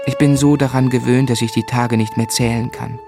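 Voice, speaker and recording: A reflective young husband as an actor plays him narrates a radio drama in a medium-pitched voice. He is tender, quiet and wistful, with music behind him. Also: steady tone, fairly quick, creaky, lightly acted. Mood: wistful narration. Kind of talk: scripted first-person radio drama narration.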